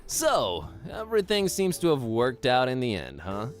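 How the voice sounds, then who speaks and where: A man's voice: mid-range voice, huge pitch swings, moderate pace. An actor playing an easygoing, cheerful young male adventurer in a cartoon adventure game, breezy and casual, with music underneath.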